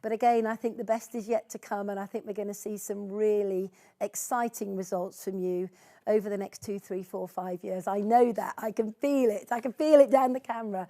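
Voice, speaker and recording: A woman's voice: medium pitch, steady tone, moderate pace, dark tone. A woman hosting an online sales training, speaking in an encouraging, conversational and informal way. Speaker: host and trainer of sales team meeting. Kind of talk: informal training presentation over video call.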